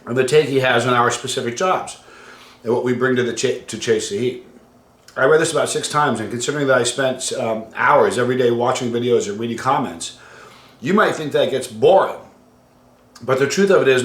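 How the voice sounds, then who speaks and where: A man casually hosting a livestream and reading out viewer comments, halting and conversational, trailing off quietly at the ends of phrases. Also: mid-range voice. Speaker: host of a hot sauce challenge channel. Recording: roomy, echoey room sound.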